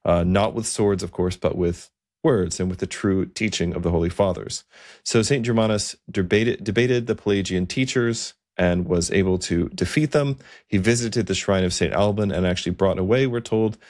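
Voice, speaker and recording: A man hosting a history podcast, telling old saints' stories in a measured way, with frequent fillers and restarts. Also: low-pitched, darkish tone. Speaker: podcast host. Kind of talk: history podcast narration, unscripted.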